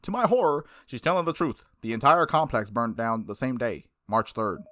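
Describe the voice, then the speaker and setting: A man's voice: mid-range voice, lively intonation, normal speed, pushed and bright. A man playing and reading a visual novel aloud, with playful casual asides and the odd stumble over words. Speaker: gaming video host.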